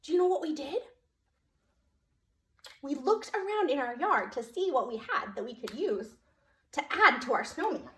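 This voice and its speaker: A woman's voice: high-pitched, big pitch swings, normal speed, pauses often, pushed and bright. A woman telling a story to preschoolers as a children's librarian, in a sing-song, child-directed way with frequent questions. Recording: roomy echo.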